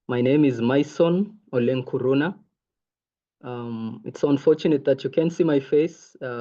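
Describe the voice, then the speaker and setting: Young Kenyan man, Maasai first language, mellow voice, earnest presenter, dull call audio. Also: mid-range voice. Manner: earnest, many ums. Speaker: intern presenter. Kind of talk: online presentation. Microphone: video call. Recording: muffled video call audio.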